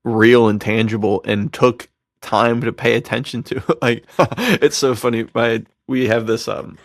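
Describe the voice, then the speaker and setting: A man's voice: medium pitch, flat delivery, a little slow, no echo, studio quality. A man chatting casually as a podcast co-host, with a slangy, self-deprecating way of talking. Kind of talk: casual chat.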